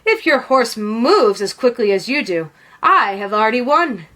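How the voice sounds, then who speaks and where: A woman's voice: on the high side, big pitch swings, moderate pace, a bit bright. A woman voicing a pompous, sneering, boastful male horse owner in a storybook.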